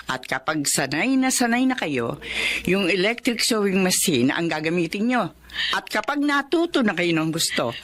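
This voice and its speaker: A woman's voice: medium pitch, a bit bright, natural voice. An actress as a kindly, motherly aunt. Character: kindly, generous middle-aged aunt running a sewing business. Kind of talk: Filipino radio drama acting.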